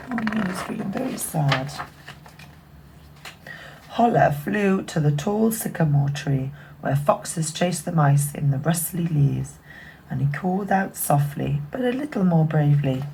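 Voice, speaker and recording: A woman reading a children's picture book aloud expressively, dropping into hushed asides. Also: deep voice, creaky, a little hiss or hum, poor audio. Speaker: bedtime story reader.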